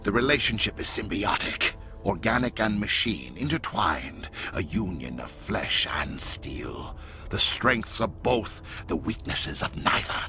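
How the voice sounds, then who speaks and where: An actor playing a proud but tormented machine-controlled villain in a video game cutscene, speaking gravely and grandly. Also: male voice, sits low, big pitch swings, a little slow, bright, forceful tone, faint music behind, full character voice. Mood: fanatical, grandiose. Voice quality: gravelly, menacing. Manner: grandiose, dramatic delivery.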